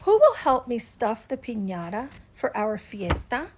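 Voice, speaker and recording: A woman's voice: fairly high pitch, very animated, measured pace, noisy background. A woman voicing a hardworking female storybook iguana, emphatic and insistent with her lazy friends. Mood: cheerful, organizing.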